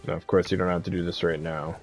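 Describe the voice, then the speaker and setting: A man's voice: low-pitched, little pitch movement, quick pace, faint music behind. A man giving a casual, laid-back, rambling walkthrough of a video game as a streamer.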